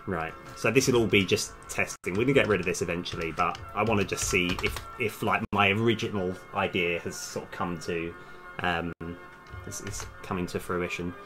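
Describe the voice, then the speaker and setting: A man with a low voice talks himself through code on a casual livestream. He mutters terms in fragments as he works, close to a desk microphone. Clear music plays behind him along with keyboard typing. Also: moderate pace, fairly bright tone. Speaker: game developer live-streaming his coding. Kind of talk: casual coding livestream, thinking aloud. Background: background music, computer keyboard typing.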